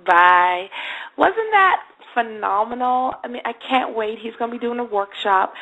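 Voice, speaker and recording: A woman hosts in a casual, gushing, enthusiastic way. Her voice is fairly high, with a clear streak of vocal fry running through it. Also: expressive, a little slow, phone-quality sound. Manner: enthusiastic, effusive. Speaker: radio show host. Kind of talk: casual interview chat.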